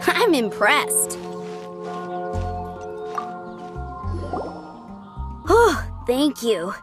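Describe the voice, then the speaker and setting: A woman's voice: high-pitched, sing-song, slow, a bit bright, full character voice. A woman playing an eager, earnest young knight trainee in a video game, modest and gushing. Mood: bashful, earnest. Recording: music behind the voice.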